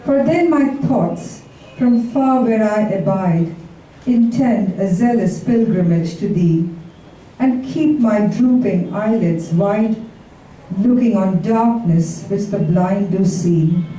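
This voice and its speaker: A woman reciting Shakespearean sonnets as a poetry reader, in a measured, deliberate delivery. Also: medium pitch, mellow. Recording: very echoey, distant, faintly noisy live recording.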